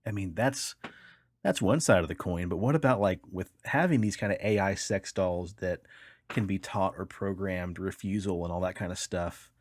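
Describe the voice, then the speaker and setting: A man's voice: sits low, moderate pace, dry recording. A man hosting a podcast, reading articles aloud and chatting in an informal, colloquial way. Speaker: podcast host.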